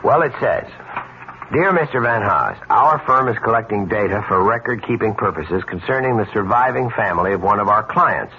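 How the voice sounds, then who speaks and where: A man's voice: lowish voice, expressive, normal speed. An actor playing a mild, puzzled, hesitant married man in a radio mystery drama. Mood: puzzled, wry. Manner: hesitant, stammering. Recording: dull, hissy vintage radio recording.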